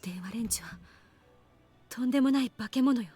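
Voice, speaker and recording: A woman's voice: on the high side, racing, dark tone, slightly rough. An actress playing a serious young woman scientist with a grave temper in a Japanese visual novel. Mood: grave, tense.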